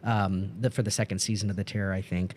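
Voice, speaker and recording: A man's voice: fairly low pitch, flat delivery, fast talker, darkish tone, a little hiss or hum. A man hosting a casual podcast discussion, talking in an enthusiastic, rambling way. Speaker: podcast host and producer. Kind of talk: casual podcast discussion about film music.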